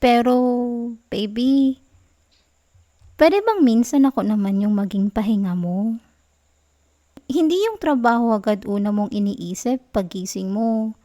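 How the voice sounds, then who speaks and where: A young woman with a Filipino accent playing a sweet, clingy, gently sulking girlfriend in an intimate ASMR roleplay, soft and whiny in her affectionate pleading. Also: fairly high pitch, animated, unhurried, pauses often, no echo, studio quality. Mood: bashful, pleading.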